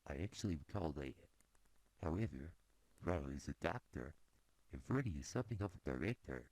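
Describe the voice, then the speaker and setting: A man voicing a gruff, food-stealing male forest creature in an amateur cartoon, explaining things slowly. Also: extremely low pitch, expressive, darkish tone, dry recording, poor audio. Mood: matter-of-fact.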